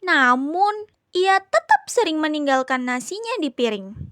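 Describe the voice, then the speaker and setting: A young woman narrating a children's story in a deliberate, expressive way, as a student storyteller. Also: sits high, noisy background. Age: young adult.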